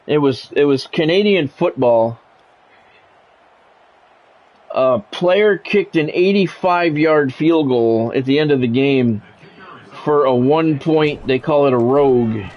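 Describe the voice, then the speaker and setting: Higher-voiced American man calling a game. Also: darkish tone, highs cut off. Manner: conversational, switching into punchy announcer-style calls. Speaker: streamer, sports video game play-by-play announcer. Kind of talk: sports commentary mixed with casual chat to stream viewers.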